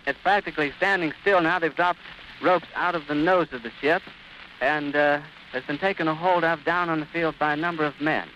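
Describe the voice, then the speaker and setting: Highish-voiced 1930s American radio reporter, muffled. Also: male voice, steady tone, a little fast, bright, forceful tone, slight background noise. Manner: florid, descriptive. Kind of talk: 1930s live radio commentary.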